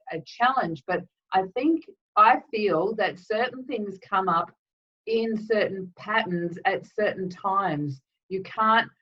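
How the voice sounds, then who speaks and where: A woman energy healer hosting a live webinar with a slightly croaky throat, chatting casually with lots of ums and greeting viewers by name. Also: fairly low pitch, a bit bright. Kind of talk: casual live-stream talk. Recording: distant, dull lo-fi webinar audio.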